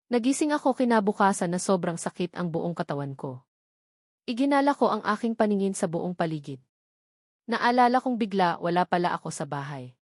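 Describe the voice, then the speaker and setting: A woman's voice: fairly low pitch, darkish tone, dry recording. Filipino woman narrating a romance novel, close and dry. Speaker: audiobook narrator. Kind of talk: scripted first-person romance novel narration.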